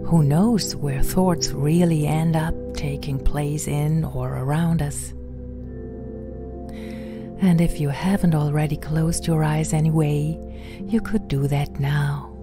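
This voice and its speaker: A woman with a low voice leads a guided meditation over clearly audible music. She speaks very slowly and softly, with a soothing, gentle delivery that turns breathy in places. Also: fairly even intonation. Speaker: meditation guide and podcast host. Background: background music.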